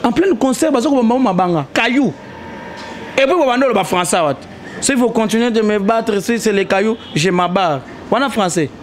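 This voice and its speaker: A man's voice: sits high, moderate pace, slight background noise. A young man in a heated casual debate, talking animatedly and pressing his points, recorded on a handheld mic in a small indoor studio. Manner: animated, argues with rising emphasis, mixes French and Lingala. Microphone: handheld mic held toward him.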